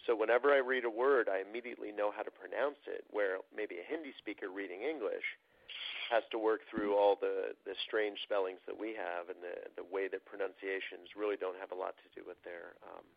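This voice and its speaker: A low, gravelly man speaking as an interview guest. He explains things thoughtfully, pausing on fillers. His voice comes through a thin, phone-like line with no room sound. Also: steady tone, no room sound. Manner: reflective and explanatory, with frequent fillers. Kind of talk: casual interview talk. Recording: tinny phone-like line, dry.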